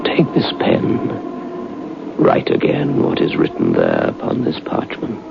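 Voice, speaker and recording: Sinister, very deep devilish stranger. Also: male voice, huge pitch swings, vocal fry, exaggerated voice. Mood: sinister, commanding. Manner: slow, commanding, ominous. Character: sinister devilish male stranger sealing a soul bargain. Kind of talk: old-time radio horror acting. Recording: muffled, noisy old radio broadcast recording.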